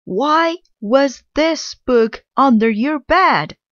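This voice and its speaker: Storybook mother witch, played by a female reader: high, screechy, easily outraged, scolding. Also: animated, drawn-out words, a bit bright, dry recording, lo-fi sound, heavily acted.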